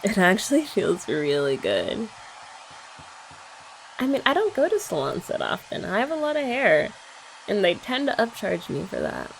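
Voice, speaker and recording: A woman's voice: medium pitch, wildly swooping pitch, normal speed, gravelly, low-quality recording. A young woman in a playful, teasing ASMR roleplay, chatting as a girlfriend while her hair is dried. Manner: playful teasing chat, soft-spoken. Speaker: ASMR creator. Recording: faint hair dryer noise behind.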